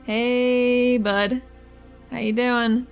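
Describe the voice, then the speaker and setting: Casting: female player as caring, blunt game-world investigator, higher voice, informal and halting, soft music bed. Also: little pitch movement. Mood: gentle, reassuring.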